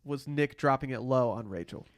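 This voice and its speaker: A man's voice: mid-range voice, moderate pace, dry recording. An American man co-hosting a casual recap chat, giving his opinions bluntly. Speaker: podcast co-host.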